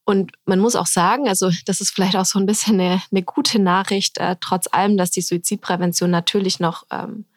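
A woman's voice: medium pitch, fairly even intonation, brisk, hardly any pauses, no room sound, studio quality. A woman, a health scientist, explaining her research in a podcast interview, thoughtful with frequent hesitations.

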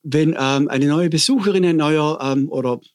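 A man in his fifties, a hospital chaplain, answering interview questions thoughtfully with frequent pauses and hesitations. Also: a little high, a little mellow. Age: 50s.

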